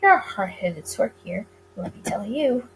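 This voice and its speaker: A woman's voice: mid-range voice, very animated, a little slow, noisy background. A woman voicing a sly, flirtatious male speakeasy boss in a visual novel, with a courtly, teasing manner. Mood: smooth, persuasive. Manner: smooth, courtly, teasing, wordy.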